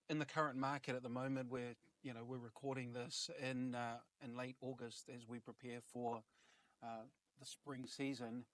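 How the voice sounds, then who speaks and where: A man speaks as a podcast host in a casual, conversational interview style. His voice sits at an average male pitch.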